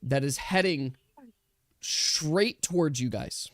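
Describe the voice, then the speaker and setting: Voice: mid-range voice, slow pace, a bit bright, no room sound. A game master with a mid-range voice and a dry, close recording. The delivery is casual and conversational, narrating a tabletop role-playing scene to the players.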